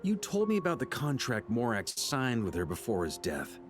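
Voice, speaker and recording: A steady, good-humored grandmaster of knights in a video game. His delivery is lively and easygoing, wry yet reassuring, with music underneath. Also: medium pitch, normal speed. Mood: serious, steady. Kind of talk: scripted video game dialogue.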